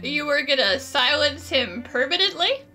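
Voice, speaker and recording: A woman's voice: high-pitched, expressive, moderate pace, bright, forceful tone, faint music behind. An actress playing a young female adventurer in a video game, inquisitive, with a wry, quippy manner. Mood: probing. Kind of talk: game voice acting.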